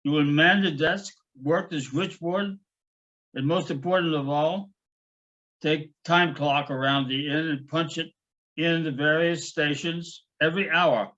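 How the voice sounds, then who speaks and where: A man's voice: a little high, steady tone, measured pace, fairly bright tone. A man voicing a stern German woman hotel manager who talks in a clipped, vaguely menacing way. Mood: cold, clipped. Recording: dull lo-fi recording.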